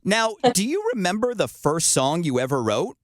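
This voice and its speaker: A man's voice: moderate pace, no echo, clean studio sound. A man in his thirties, born in Montreal but with no accent, hosting an upbeat interview and laughing often. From Montreal, Canada. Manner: animated, laughs often, high-pitched laughter. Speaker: podcast host.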